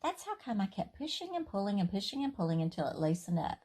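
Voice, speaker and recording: A woman reading a children's story aloud in an expressive, playful, emphatic way. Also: medium pitch, fast, husky.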